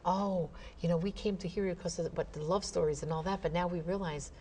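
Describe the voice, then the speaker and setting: A woman co-hosting a talk show, telling stories in an animated way with frequent "you know". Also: fairly low pitch, brisk, a little hiss or hum. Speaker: co-host.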